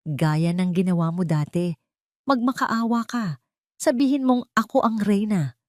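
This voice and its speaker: A narrator puts on a power-hungry, ruthless woman villain from an audio drama. Her voice lifts well above a low narrating register as she taunts and gives frantic orders. The sound is close and dry. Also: lively intonation, measured pace, no echo, close to an everyday voice. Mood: gloating. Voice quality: trembling, shouted delivery. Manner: commanding, taunting.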